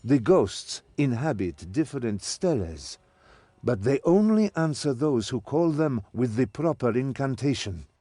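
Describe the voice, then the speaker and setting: An actor playing a stern, commanding old mentor in a game cutscene, with theatrical delivery. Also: male voice, medium pitch, sing-song, a little slow, warm tone, husky, dry recording. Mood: testing, instructive. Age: older. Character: stern old male mentor, servant of a master. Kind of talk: scripted game cutscene acting.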